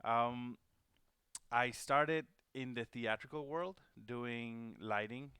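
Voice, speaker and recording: A man's voice: medium pitch, slow pace, bright, forceful tone, smooth, no room sound, studio quality. A man from Venezuela hosting a livestream, talking casually and rambling with many fillers and restarts. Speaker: host. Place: home studio.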